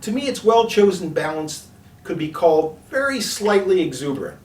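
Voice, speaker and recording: Guy reading a scripted review, kinda echoey and off the mic, measured and articulate. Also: on the high side, darkish tone, a little hiss or hum. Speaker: product reviewer and presenter. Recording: echoey room, distant mic, music behind.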